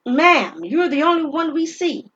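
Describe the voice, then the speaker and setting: A woman voicing a forceful, concerned police officer in an audio drama. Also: high voice, very animated, unhurried, dull audio, pitched above the performer's own voice, exaggerated voice. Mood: loud concern.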